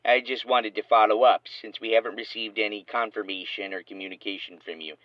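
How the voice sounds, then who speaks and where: A curt bureaucrat over intercom. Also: male voice, lowish voice, steady tone, brisk, dry recording. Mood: falsely polite. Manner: clipped, officious, condescending. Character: Maria, curt, passive-aggressive alien accounting bureaucrat. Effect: radio intercom filter. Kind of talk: scripted audio comedy acting. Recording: dull, dry intercom call.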